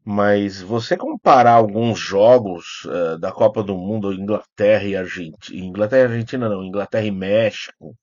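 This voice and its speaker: A man with a fairly low voice and a Brazilian accent talks as if to his channel's followers, loosely and without a script. He wanders through his sentences, hesitating and correcting himself as he goes. The sound is somewhat dull and lo-fi. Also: normal speed, hardly any pauses, darkish tone, no echo. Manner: rambling, hesitant, self-correcting. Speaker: YouTube host, film critic. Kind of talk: casual unscripted vlog talk, answering comments.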